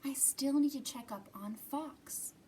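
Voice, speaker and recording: A woman's voice: sits high, unhurried, a little mellow, a little hiss or hum. A woman hosting a children's storytime, speaking in simple, encouraging language meant for young children, as she reads and leads puppet play. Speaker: children's library storytime host. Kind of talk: children's storytime reading, puppets and sing-along. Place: indoors in a library.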